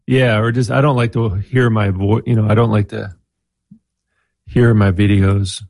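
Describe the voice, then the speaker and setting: A man with a low, gravelly voice talks haltingly and leans on fillers as he chats. He speaks in a relaxed, hesitant way, and the recording is dry and close. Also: no echo. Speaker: guest musician and video maker. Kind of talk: casual chat. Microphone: headphones and home microphone.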